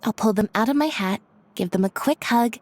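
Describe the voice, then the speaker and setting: A woman's voice: fairly high pitch, fairly quick, a little hiss or hum, close to an everyday voice. A woman voicing an upbeat young stage magician who coaxes and persuades cheerfully. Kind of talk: video game voice acting. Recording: soft game music behind.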